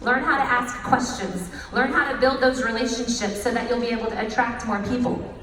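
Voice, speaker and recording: A woman guest speaker with a husky voice, talking to an audience in a lively way and laughing often. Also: a little high, a little fast, a little hiss or hum. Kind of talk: presentation to an audience. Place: large echoey hall. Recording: roomy hall recording from a distance.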